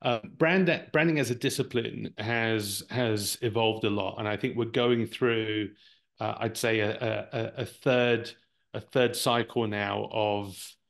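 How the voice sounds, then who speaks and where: A man's voice: lowish voice, steady tone, measured pace, creaky. A Brazilian-born man with an English accent, Portuguese his first language, answering interview questions thoughtfully as a guest, often repeating words while he finds his phrasing. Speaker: guest, marketing executive.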